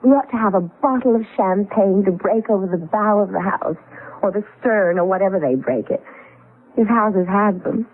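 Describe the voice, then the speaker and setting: An actress playing a playful, excitable wife in a 1970s radio drama, with a theatrical, expressive delivery. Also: female voice, mid-range voice, moderate pace, lightly acted. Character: playful, excitable wife enchanted by her old house. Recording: tinny band-limited vintage radio recording.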